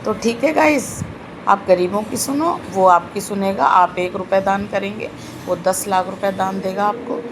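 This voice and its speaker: A woman with a sore throat giving a slow, earnest devotional talk in an online video. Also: medium pitch, noisy background. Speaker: spiritual video host.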